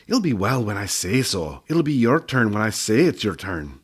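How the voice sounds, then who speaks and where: A man voicing a cranky old female gnome healer who scolds and bosses in a sharp, snappish way. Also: on the low side, sing-song, moderate pace, slightly rough. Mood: bossy.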